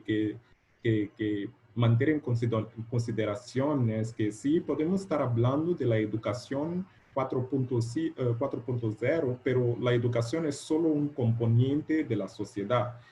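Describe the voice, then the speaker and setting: A man with a soft, mellow voice and a Portuguese-speaker's accent presents informally over a video call. He speaks haltingly, repeating words while he looks for the right one. There is faint noise behind him. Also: mid-range voice. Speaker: guest lecturer, doctoral candidate.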